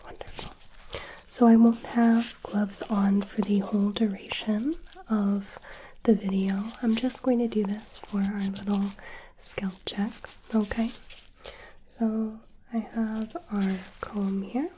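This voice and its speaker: A woman whispering gently through a caring ASMR personal-attention roleplay, her voice a little hoarse and sniffly from hay fever. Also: medium pitch, little pitch movement, slow pace, background noise. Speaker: ASMR creator.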